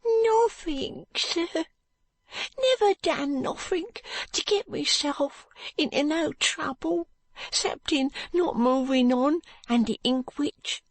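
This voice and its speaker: A woman voicing a frightened, pitiful ragged street boy with a high, cracked, tearful voice and slurred street speech. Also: slow pace, no echo. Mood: pleading.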